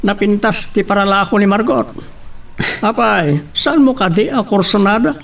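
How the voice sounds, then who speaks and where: An actor with an Ilocano accent playing a boastful, flirtatious well-off boss and husband in a radio drama. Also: male voice, sits high, normal speed, creaky, fairly bright tone, far from the mic. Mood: bossy, teasing.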